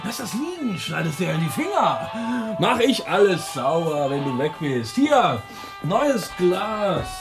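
A boozy young man playing the jovial old pal, pitched high and theatrically hearty. The delivery is drawn out and swings widely, as in a radio drama, over music. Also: sing-song, takes it slowly, creaky, exaggerated voice. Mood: tipsy, laughing. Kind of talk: German radio drama acting.

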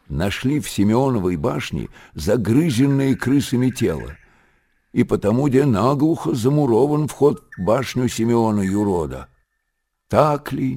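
A man with a husky voice giving an expressive storytelling narration of literary prose for radio. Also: mid-range voice.

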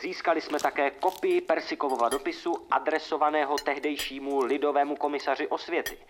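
A man's voice: on the high side, fairly even intonation, a little hiss or hum, close to an everyday voice. Formal man presenter over clinking dishes, acted. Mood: solemn, formal. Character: solemn male documentary presenter, dramatic when quoting letters. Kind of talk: scripted narration within radio drama. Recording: clinking dishes and cutlery behind.

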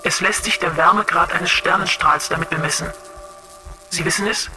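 A woman's voice: lowish voice, steady tone, moderate pace, music in the background, natural voice. An actress playing a serene, clairvoyant female android in a radio drama, speaking with dreamy eloquence. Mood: wistful, lofty. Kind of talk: German radio drama acting.

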